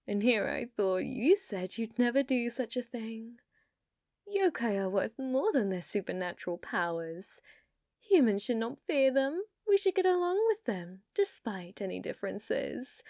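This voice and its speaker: A woman's voice: fairly high pitch, very animated, darkish tone, no echo. An ancient, possessive yokai woman, acted by a female performer for an ASMR roleplay, speaks very unhurriedly in a dry, close sound. She is coy and dominant, teasing her listener with sweetness that carries menace, often sinking toward a whisper. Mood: probing, wary. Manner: slow, teasing, intimate, often dropping to a whisper.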